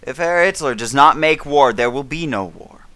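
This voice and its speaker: A man performs as a grave British elder statesman delivering a 1930s wartime radio address. The voice is forceful and clean, recorded close and dry. He declaims with defiance, leaning hard on key words in stately oratory. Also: medium pitch, animated, moderate pace, no room sound. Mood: grave, warning. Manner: declamatory, emphatic, dramatic stresses, occasional stumbles.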